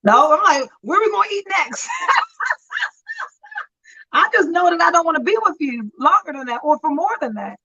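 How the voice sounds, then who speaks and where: A woman's voice: very high voice, wildly swooping pitch, measured pace, long pauses, pushed and bright, muffled recording. A woman in her fifties co-hosting a casual chat show, telling stories with frequent laughter, calling in from a friend's house. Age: 50s. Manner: chatty storyteller, laughs often. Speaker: talk show co-host.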